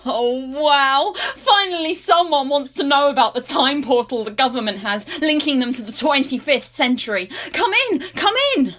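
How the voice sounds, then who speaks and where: A woman's voice: high voice, lively intonation, normal speed, hardly any pauses, a bit bright. Woman playing an eccentric, spacey hippie conspiracy theorist. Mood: excited welcome. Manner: dreamy, rambling, earnest. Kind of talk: amateur radio play acting.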